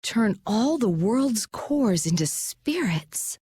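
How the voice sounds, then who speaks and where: A woman's voice: fairly high pitch, sing-song, slow, dark tone, breathy edge, full character voice. A woman playing a poised, businesslike merchant leader in an English anime game dub. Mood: measured.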